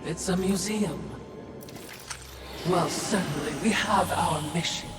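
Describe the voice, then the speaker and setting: Echoing, wary spirit servant. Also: female voice, fairly low pitch, slow. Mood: wistful. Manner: deferential yet gently chiding. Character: clever, wary spirit servant attending her mistress. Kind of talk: video game voice acting. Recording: echoey, distant, loud music behind.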